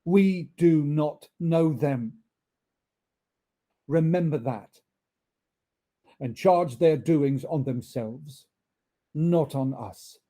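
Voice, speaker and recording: A man voicing a gigantic, genial yet admonishing spirit with emphatic, deliberate delivery in a storybook reading. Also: a little high, dark tone. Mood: solemn, admonishing. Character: gigantic male Christmas spirit, genial but solemnly admonishing.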